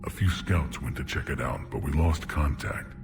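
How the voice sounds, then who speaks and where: An actor voicing a huge, proud, menacing alien clan leader in a video game, with a gravelly rumble and a blunt, domineering delivery. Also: male voice, normal speed, over music. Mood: gruff, businesslike. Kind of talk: video game cutscene acting.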